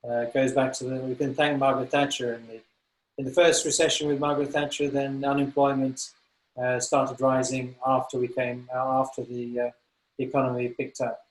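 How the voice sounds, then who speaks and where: A man in his sixties with an English accent, a business consultant answering interview questions in a thoughtful, halting way with many fillers and restarts. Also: mid-range voice, level tone, echoey room, poor audio. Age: 60s.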